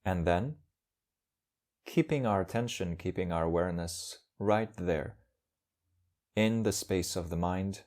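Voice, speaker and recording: A man leading a guided meditation as a teacher, speaking calmly in measured, short phrases with pauses. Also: low-pitched.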